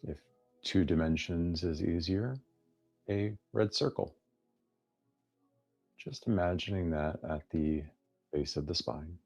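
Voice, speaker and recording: Deep, slow-paced man guiding meditation. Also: gravelly, dull audio, soft background music. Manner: unhurried phrasing with long pauses, gently inviting. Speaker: guided meditation teacher. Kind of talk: guided meditation, soft instructional narration.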